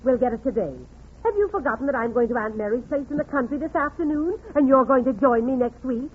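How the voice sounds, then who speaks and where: An actress playing a bossy, nagging wife who always knows best in a 1940s radio comedy. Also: female voice, high voice, animated, quick pace. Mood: grudging, brisk. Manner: domineering, correcting. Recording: muffled, noisy 1940s radio, audience behind.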